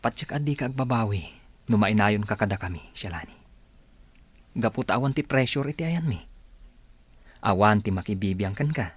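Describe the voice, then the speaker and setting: Guy acting smooth friendly recruiter in ilocano radio drama, low husky voice, polite n persuasive. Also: animated, normal speed, pauses often, no echo. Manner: courteous, persuasive. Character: Edgar, smooth, friendly male stranger recruiting for a secret group.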